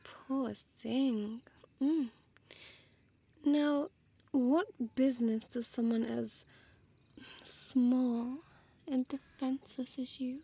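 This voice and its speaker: A woman playing a small, deadly female vampire in an intimate audio roleplay, teasing and condescending in formal words, breathy and often dropping to a whisper. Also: high voice, dark tone, full character voice. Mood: amused, condescending.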